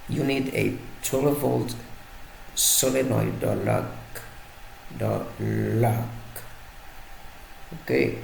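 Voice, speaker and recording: Very slow, gravelly, halting man. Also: fairly low pitch, a little mellow. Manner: halting, searching for words, repeating himself. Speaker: presenter of an electronics tutorial. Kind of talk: casual unscripted hands-on tutorial. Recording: roomy echo, faint background noise.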